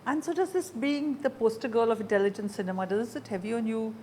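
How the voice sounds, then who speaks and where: A woman with an Indian accent hosting a conversational interview, engaged and quick to agree. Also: a little high, darkish tone, a little hiss or hum. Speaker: interviewer, host.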